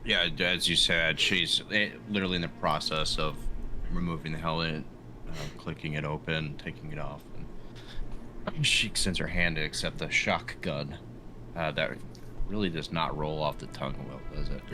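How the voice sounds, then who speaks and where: Very deep-voiced man, noisy background. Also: fairly even intonation, normal speed. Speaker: tabletop game player. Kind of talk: describing character actions in game.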